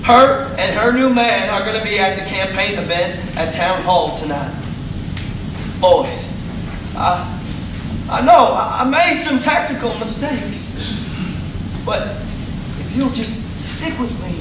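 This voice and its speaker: High, swooping, folksy convict schemer played by a man. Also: animated, slow, long pauses, full character voice. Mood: pleading. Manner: hesitant, stammering, persuasive. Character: fast-talking escaped convict, desperate husband, folksy schemer. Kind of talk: live radio play acting. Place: theater stage. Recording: echoey theater from far off, noisy.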